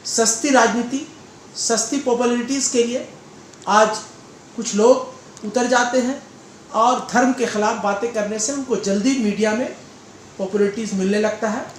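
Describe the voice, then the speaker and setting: A man giving political interview answers in a deliberate, oratorical way, repeating phrases. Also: very high-pitched. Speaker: political leader being interviewed. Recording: echoey room with faint background noise.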